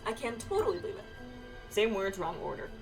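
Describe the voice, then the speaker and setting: A woman's voice: mid-range voice, animated, a little fast. A woman chatting casually with friends during a game playthrough. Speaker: gamer reading dialogue aloud. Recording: distant mic, game music behind.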